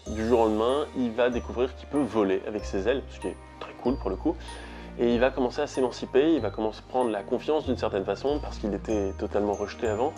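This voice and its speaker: A man's voice: on the low side, darkish tone. Chatty young French man vlogging. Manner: chatty, rambling with frequent "euh". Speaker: YouTube host. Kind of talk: casual vlog talk to viewers.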